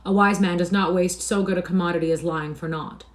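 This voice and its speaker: A woman reading aloud and voicing a rough, scheming young male thief and beggar with a scoffing, swaggering manner. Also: fairly low pitch, fairly even intonation, brisk, close to an everyday voice. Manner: archaic swaggering speech, scoffing.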